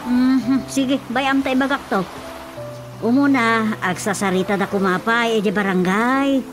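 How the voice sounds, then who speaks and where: A woman acting a defiant, fed-up wife in a radio drama, sharp-tongued and confrontational. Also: a little high, a little slow, over music, natural voice. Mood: concerned, discussing. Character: defiant, fed-up battered wife standing her ground.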